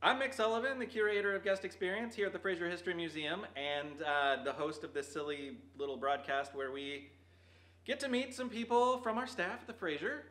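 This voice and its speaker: A man's voice: high voice, brisk, a bit bright. A man hosting a playful interview in a jokey manner, calling out through a megaphone in an echoey room. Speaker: host, museum staff.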